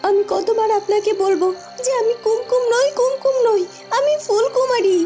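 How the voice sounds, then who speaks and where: A woman's voice: very high voice, normal speed. A woman voicing an anxious, polite young cartoon heroine who speaks plaintively and repeats words for emphasis. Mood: indignant. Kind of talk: Bengali fairy-tale cartoon dubbing. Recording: music behind the voice.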